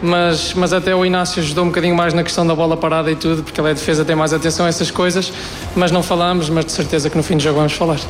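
A footballer answers press questions at a very rapid pace in a flat, slightly high voice. A long hall reverb surrounds him, and clear music plays underneath. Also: male voice. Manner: rapid, repeats words. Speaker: football player.

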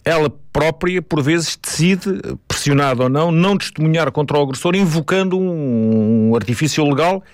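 A man with a European Portuguese accent, explaining legal points in a radio interview, measured and full of restarts. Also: a little high, dry recording. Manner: measured, many restarts and fillers. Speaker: crime commentator.

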